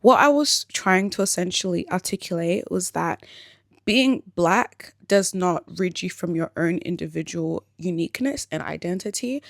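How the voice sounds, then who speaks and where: A woman talks to camera in a reflective personal vlog, her voice sitting somewhat low and crackling with vocal fry. She meanders as she thinks, restarting lines and filling pauses with um and like. Her delivery stays unhurried and candid. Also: expressive. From born in Africa, grew up in Australia. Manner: thoughtful, rambling, frequent ums and likes. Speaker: vlogger.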